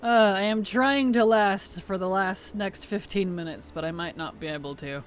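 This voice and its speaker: A woman game streamer chatting casually and playfully on a livestream, her tired voice starting to weaken and turn gravelly. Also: medium pitch, moderate pace. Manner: playful, teasing, chuckles often. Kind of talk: casual livestream chat over gameplay.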